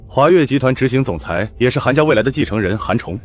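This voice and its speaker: A man's voice: mid-range voice, lively intonation, fairly quick, slight background noise, natural voice. A man playing a haughty, taunting businessman in scripted romance drama, curt and challenging. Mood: measured.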